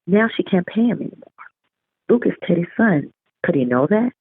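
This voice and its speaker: A woman's voice: on the low side, sing-song, moderate pace, husky, pitched above the performer's own voice, full character voice. A woman voicing an anxious, disbelieving young mother while reading aloud from a novel. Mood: shocked, disbelieving. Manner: demanding, pleading. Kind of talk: audiobook-style reading of a novel. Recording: tinny phone-like line.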